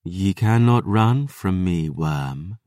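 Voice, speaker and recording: Narrator putting on a cold vampire lady, archaic talk, low and slow, dry close sound. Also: lively intonation, a little mellow, no room sound. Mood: menacing. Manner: sneering old-fashioned speech, thee and ye. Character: cruel archaic female vampire, menacing.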